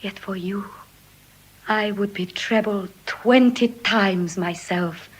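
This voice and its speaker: An actress with an English RP accent playing a tender, devoted young noblewoman, in heartfelt, measured Shakespearean verse. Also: medium pitch, husky, poor audio. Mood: tender, modest. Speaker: stage actor. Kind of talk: classical Shakespearean verse acting.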